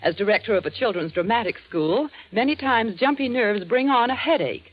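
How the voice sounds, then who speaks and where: Female testimonial reader, scripted period delivery, animated pitch, dull old radio sound. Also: brisk. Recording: dull 1940s radio recording.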